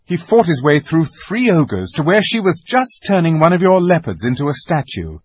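A man's voice: a little high, very animated, normal speed, slightly rough, dry recording, voice pushed up. A man voicing an earnest teenage boy war leader in a storybook, speaking emphatically and urgently about battle. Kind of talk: dramatised audiobook reading of a children's fantasy.

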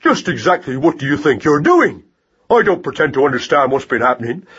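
A man's voice: on the high side, sing-song, brisk, a bit bright, slightly rough, highs cut off, heavily acted. A man voicing a furious young giant mouse in a storybook, blustering through an indignant scolding tirade.

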